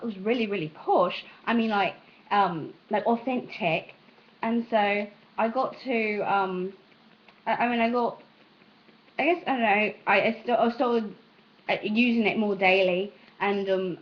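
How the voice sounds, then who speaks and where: A young American woman from the South speaks in an adopted British accent, chatting casually and hesitantly as she meanders and interrupts herself. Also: mid-range voice. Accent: British, put on by an American. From Southern United States. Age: young adult. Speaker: amateur vlogger. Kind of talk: casual rambling chat to camera. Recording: dull, lo-fi sound.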